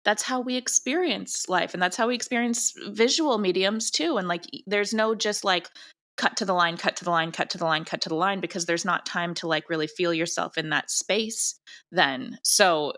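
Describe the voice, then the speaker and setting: A woman's voice: on the low side, moderate pace, fairly bright tone. A woman chatting casually as an interview guest about her creative work. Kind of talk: casual, animated interview chat.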